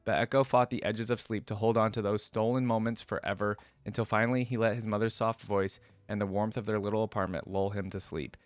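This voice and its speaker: A man's voice: lowish voice, flat delivery, a little fast, few pauses, no room sound. A man hosting a reaction video, reading a story aloud and commenting on it as a casual fan. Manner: casual, slangy asides.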